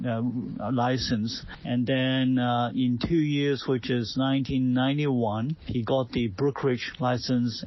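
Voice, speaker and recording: A man's voice: medium pitch, lo-fi sound. A man in his forties from Hong Kong, a Cantonese native speaker, telling his family's story casually, with many fillers and restarts. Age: 40s. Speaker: realtor.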